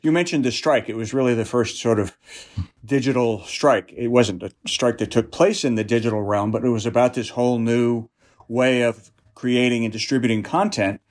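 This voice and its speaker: A man's voice: medium pitch, fairly even intonation, moderate pace, darkish tone. A middle-aged man giving thoughtful, slightly wry answers as an interview guest. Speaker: interview guest, union executive director. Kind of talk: conversational interview.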